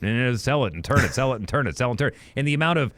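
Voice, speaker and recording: A man's voice: medium pitch, lively intonation, a bit bright, no echo. Rambling man, dry close sound. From Western Canada. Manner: rambling, reminiscing, quick asides. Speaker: podcast co-host. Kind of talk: casual sports chat. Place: apartment recording studio.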